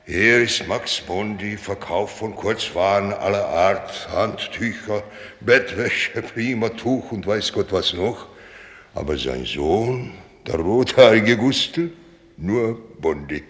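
An actor playing a gruff, folksy old sea captain in a German radio drama, blunt and muttering, with the odd English sailor phrase. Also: male voice, lowish voice, animated, takes it slowly, a bit bright, long reverb tail. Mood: musing, wry.

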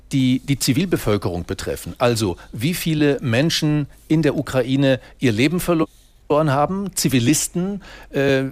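A journalist discussing war reporting in a measured way, pausing often with fillers. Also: male voice, mid-range voice, no room sound. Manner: measured, hesitant with many fillers. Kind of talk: studio discussion.

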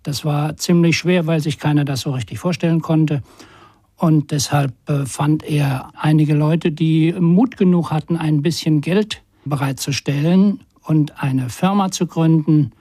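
A man, a scholar, explaining hesitantly in an interview with a husky voice, searching for words. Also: fairly high pitch, fairly even intonation, measured pace, warm tone, no echo, clean studio sound. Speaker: communication scholar.

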